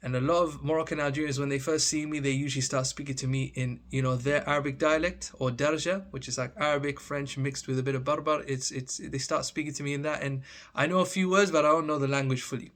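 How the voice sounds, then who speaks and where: A man's voice: mid-range voice, fairly even intonation, fast, fairly bright tone. A man in his thirties from Oman with a British accent, chatting casually to camera in a vlog, with many fillers and restarts. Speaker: vlogger.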